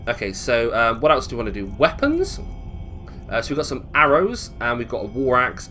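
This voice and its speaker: A man's voice: mid-range voice, pushed and bright. A man giving casual, chatty gameplay commentary, thinking aloud and questioning himself as he goes. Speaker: gamer narrating a let's play.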